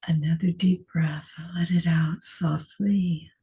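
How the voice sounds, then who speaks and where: A woman meditation teacher leading a guided meditation in soft, gentle short phrases with long pauses, sometimes trailing into a breathy near-whisper. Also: on the low side, steady tone.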